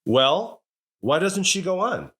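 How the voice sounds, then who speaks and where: A man's voice: sits high, very animated, takes it slowly, fairly bright tone, husky, heavily acted. An actor playing a middle-aged, sentimental and easily exasperated husband and father in an old radio family comedy. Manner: blustering, hesitant when sincere.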